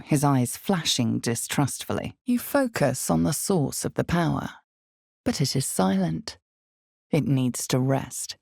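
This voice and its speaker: A woman voicing a grave, ominous fantasy game narrator, with a gravelly voice and a measured, dramatic delivery in scripted second person. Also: extremely low pitch, mellow, no room sound, studio quality, full character voice. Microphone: close studio microphone, dry.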